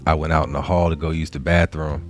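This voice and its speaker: A man with a deep voice telling casual, joking stories as a podcast host, often halting and repeating himself. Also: flat delivery, over loud music. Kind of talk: casual storytelling chat.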